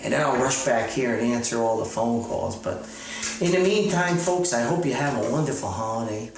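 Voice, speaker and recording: A man's voice: medium pitch, expressive, normal speed, lightly acted. Guy doing a folksy deluded famous author, rambles and stammers, cheerful comedy bit, echoey and far from the mic. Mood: hopeful, consoling himself. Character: delusional, chipper, bumbling self-styled world famous author. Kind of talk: improvised-sounding monologue comedy skit.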